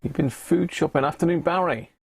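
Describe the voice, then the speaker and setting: A man with an English accent and a gravelly voice, hosting a hobby electronics live stream in a chatty, rambling way, thinking aloud as he works. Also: a little high, brisk. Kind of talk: casual live-stream chat while working at a bench.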